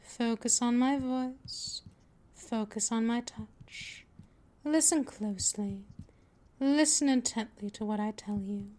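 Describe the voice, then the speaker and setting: A woman's voice: fairly high pitch, slow pace, darkish tone. A woman playing a patient, possessive vampire mistress in a scripted ASMR roleplay, speaking in a coaxing, soothing, dominant manner that often drifts toward a whisper. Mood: soothing, hypnotic.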